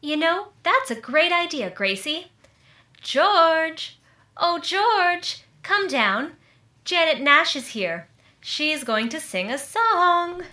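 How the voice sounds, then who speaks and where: A woman's voice: extremely high pitch, big pitch swings, slow, bright, forceful tone, exaggerated voice. A woman playing an obliging friend who acts as go-between in a 1940s-style radio comedy. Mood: cheerful.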